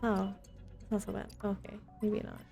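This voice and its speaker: A woman's voice: medium pitch, level tone, moderate pace, creaky, warm tone, soft background music. A woman chatting informally and playfully with viewers while she streams a video game. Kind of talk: casual live gaming chat with viewers.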